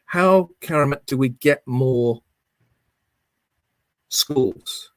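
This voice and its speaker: A man's voice: medium pitch, very slow, no room sound. A man posing a question in an academic panel discussion, speaking in articulate, academic phrasing.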